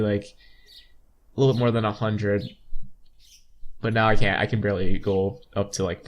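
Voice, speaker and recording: A young man hosting a casual visual novel playthrough, reading the text aloud with chatty asides and some stumbles. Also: mid-range voice. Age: young adult. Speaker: gameplay video host.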